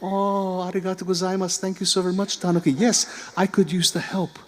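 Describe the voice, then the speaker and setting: A man voicing a kindly, trusting old grandmother in a folk tale, speaking high and soft. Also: flat delivery, brisk, close to an everyday voice. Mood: grateful. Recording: long hall reverb.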